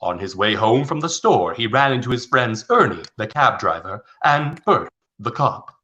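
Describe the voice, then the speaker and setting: A man's voice: medium pitch, sing-song, normal speed, pushed and bright. A man narrating a scripted radio play as a storyteller.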